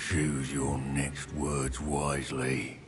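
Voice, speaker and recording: An actor playing a cold, arrogant goblin villain in a video game, with a sneering, threatening manner. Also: male voice, very deep voice, completely flat delivery, takes it slowly, faint music behind. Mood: cold threat. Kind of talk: dramatic video game acting.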